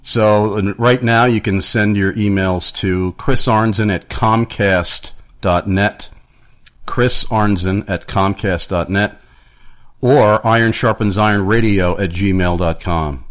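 A man with a somewhat low voice hosts a radio talk program. He speaks plainly and evenly, filling pauses with small hesitations, and reads out announcements and a guest's introduction. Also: steady tone. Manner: plain, measured delivery with frequent "uh" fillers. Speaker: radio show host. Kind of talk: radio interview.

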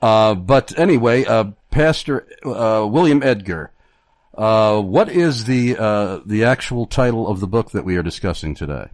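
A man hosting a radio interview, speaking formally and haltingly with many fillers. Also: lowish voice, expressive, no echo. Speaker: radio show host.

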